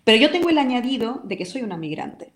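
A woman's voice: on the high side, very animated, quick pace. Passionate Peruvian woman, roomy sound. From Peru. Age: adult with a decade living abroad. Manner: vehement, passionate, speaks her opinions firmly. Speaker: interview guest, talk show host and commentator. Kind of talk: radio interview. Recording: roomy echo.

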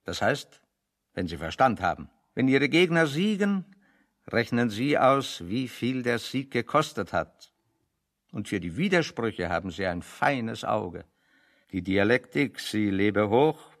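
A bookish refugee intellectual in a radio play. His mid-pitched voice is gravelly with fry as he lectures with dry irony and pedantic care. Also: sing-song, unhurried, a bit bright. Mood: dryly ironic, expounding. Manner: pedantic, dryly ironic, expounding. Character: wry, bookish intellectual refugee, man, holding forth on philosophy. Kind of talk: radio play dialogue, discursive monologue.

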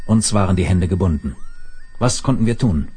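An actor playing a sober, commanding space leader who tells his own adventure in a radio play, measured and authoritative. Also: low-pitched, expressive, dark tone, husky, faint music behind, heavily acted. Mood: grave, measured. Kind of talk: 1970s German radio play.

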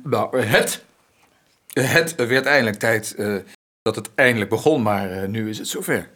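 An actor playing a provocative rebel son of a grand family, declamatory and mocking, in a radio drama. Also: male voice, lowish voice, a little slow, fairly bright tone. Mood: halting, excited.

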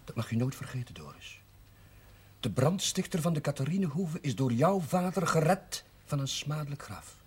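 An actor playing a kindly, persuasive village chaplain in a 1970s television drama, speaking in a measured, earnest way. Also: male voice, on the high side, big pitch swings, husky, exaggerated voice. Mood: solemn, pleading. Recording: noisy 1970s television soundtrack.

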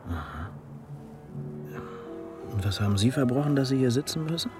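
A cheeky young male detective assistant played by an actor, his intonation very animated. He is outspoken and teasing in a crime radio play, with clear music in the background. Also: mid-range voice, normal speed, lightly acted. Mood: curious. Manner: candid, teasing. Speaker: junior police detective. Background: music, vehicle sound.

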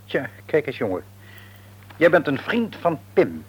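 A man's voice: on the high side, very animated, a little slow, slightly rough, heavily acted. An actor playing a shrewd, patronising middle-aged father and businessman in a Dutch radio play, wordy and probing, with a Netherlands accent. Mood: patronising, insistent. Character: shrewd, patronising businessman father, outwardly jovial, secretly domineering. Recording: radio drama recording.

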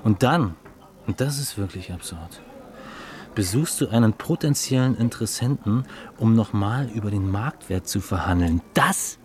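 An actor playing a cool, cynical male dealmaker in a German radio drama, taunting and deliberate, with a superior, mocking manner. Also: lowish voice, animated, darkish tone, close to an everyday voice.

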